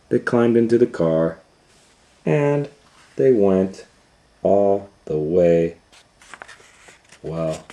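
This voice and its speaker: A man reading a story aloud to young children in a dramatic, loosely retold way, moving between hushed asides and excited swells. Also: low-pitched. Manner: dramatic, hushing to near whispers and swelling with excitement. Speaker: storytime host and reader.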